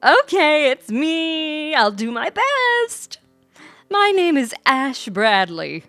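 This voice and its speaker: Game role: woman playing a guileless, bubbly twenty-something, high and loud, very animated, earnest. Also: slow pace, no room sound, faint music behind, heavily acted. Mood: bubbly, eager. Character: eager, guileless young adult game character oversharing details.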